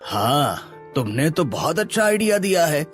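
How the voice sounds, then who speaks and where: A man's voice: a little high, big pitch swings, unhurried, faint music behind. A man voicing a polite, agreeable, practical family man in scripted cartoon story dialogue.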